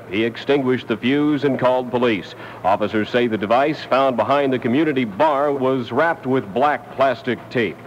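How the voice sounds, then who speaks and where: An American man reading the news formally as a 1970 AM radio newscaster. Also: mid-range voice. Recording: muffled, noisy 1970 AM air check.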